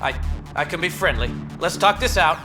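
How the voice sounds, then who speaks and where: A man's voice: a little high, expressive, normal speed, sharp tone, faint music behind. A man playing a nervous, talkative scavenger outlaw in a video game, edgy and colloquial, eager to placate. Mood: placating.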